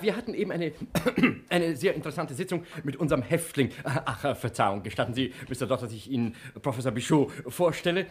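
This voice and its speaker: An actor playing a jovial, fussy, self-important male prison director who repeats himself and clears his throat, in a 1960s German radio play. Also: fairly high pitch, close to an everyday voice.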